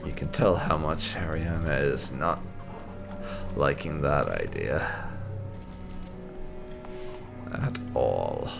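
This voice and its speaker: Deep, gravelly man commentating on a game. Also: slow, long pauses. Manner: musing, thinking aloud. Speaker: gaming channel host. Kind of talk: casual video game playthrough commentary with light roleplay. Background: game music.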